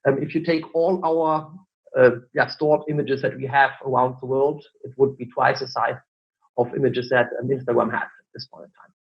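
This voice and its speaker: A man's voice: medium pitch, flat delivery, moderate pace, creaky, muffled recording. German-accented man explaining in an interview. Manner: explanatory, many fillers and "look". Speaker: marketing director, guest. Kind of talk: interview answers.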